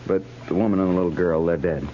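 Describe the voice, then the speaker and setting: An earnest young-ranking cavalry officer, acted by a man, with a fairly low voice and a formal, deferential delivery. It sounds like a 1950s radio western, muffled and faintly hissy. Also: steady tone, fast talker, dark tone, natural voice. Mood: sober, shaken. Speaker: radio drama actor.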